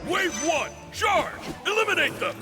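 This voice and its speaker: An actor playing a proud, defiant leader of desert warriors in a video game, with grandiose, declamatory delivery and a husky voice. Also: male voice, very high voice, very animated, unhurried, in a large hall, loud music behind the voice, exaggerated voice. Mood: rallying, fierce. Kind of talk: dramatic video game voice acting.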